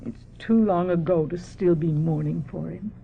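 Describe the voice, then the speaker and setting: A woman's voice: fairly low pitch, moderate pace, vocal fry, slightly rough. An actress playing a stern, impatient, unsentimental middle-aged mother in a radio drama. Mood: stern, scolding. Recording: dull lo-fi radio sound.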